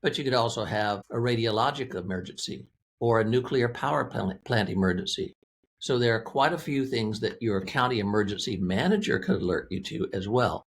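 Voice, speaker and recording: A man's voice: fairly low pitch, fairly quick, slight background noise. A man speaking as an interview guest, explaining a product in a plain-spoken, methodical, conversational way. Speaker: interview guest, weather radio company representative.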